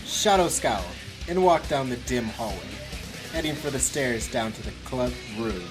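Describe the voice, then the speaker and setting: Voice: medium pitch, lively intonation, moderate pace, music in the background. A podcast co-host in casual chat, also reading fanfiction aloud.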